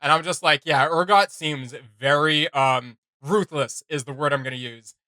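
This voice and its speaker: An enthusiastic young man co-hosting a reaction video, talking casually with plenty of fillers. His voice is bright and sits slightly high for a man. The recording is close and dry. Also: fairly even intonation, normal speed, no echo. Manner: chatty and enthusiastic, with frequent fillers and laughs.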